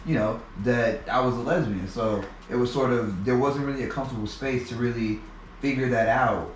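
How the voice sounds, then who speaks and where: A man as an interview guest, telling a personal story in a halting way with many restarts and repeated words. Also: mid-range voice, slight background noise. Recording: echoey room, mic at a distance.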